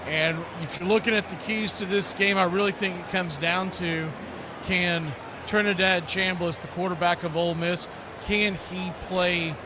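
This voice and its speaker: American guy doing casual football preview on a livestream, kinda higher voice, noisy behind him. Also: fairly even intonation, moderate pace. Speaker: host of a live football watch party. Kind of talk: casual live sports preview commentary.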